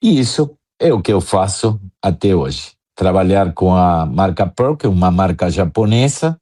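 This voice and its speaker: A man from Argentina with an Argentine accent, speaking Portuguese as a second language, telling his career story slowly with many hesitations in an interview. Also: low voice, lively intonation, warm tone. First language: Spanish. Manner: slow, many hesitant fillers. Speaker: guest, drum importer.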